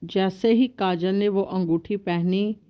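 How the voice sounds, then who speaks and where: A woman's voice: medium pitch, fairly even intonation, takes it slowly, dull audio. A woman reading scripted narration for a bedtime cartoon story.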